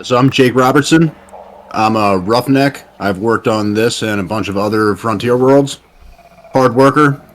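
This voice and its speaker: Low-voiced, plain-spoken man. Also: fairly bright tone, a little hiss or hum. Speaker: tabletop game player. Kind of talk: casual in-character introduction.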